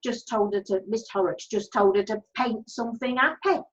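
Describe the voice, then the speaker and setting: A woman's voice: fairly high pitch, moderate pace, highs cut off, lightly acted. A woman voicing a sweet little girl in a school nativity, in an amateur play reading. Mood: childlike.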